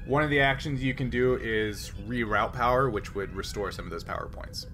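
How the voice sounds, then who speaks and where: A man's voice: medium pitch, brisk, sharp tone. A man running a tabletop game as game master, casually explaining rules in a hesitant, step-by-step way. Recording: noisy background ambience.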